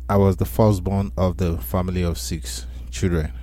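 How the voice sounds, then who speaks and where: A Nigerian man in his forties tells his life story on a radio show, unhurried and repeating words as he recalls. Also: low-pitched, level tone, dark tone. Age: 40s. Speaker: featured storyteller.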